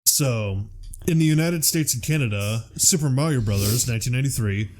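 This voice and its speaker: A man's voice: mid-range voice, a little fast, darkish tone. A man hosting a podcast in casual, profane chat, reading out film trivia and riffing on it. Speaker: podcast host.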